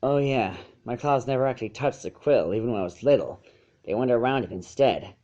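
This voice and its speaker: A man's voice: mid-range voice, expressive, a little fast, warm tone, lo-fi sound, close to an everyday voice. A man voicing a young male dragon in a story, unsure and easily frustrated, with a plaintive, grumbling manner.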